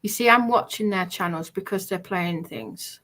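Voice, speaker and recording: A woman's voice: medium pitch, normal speed, fairly bright tone, a little hiss or hum. A woman chatting casually as a livestream commentator. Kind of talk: casual chat.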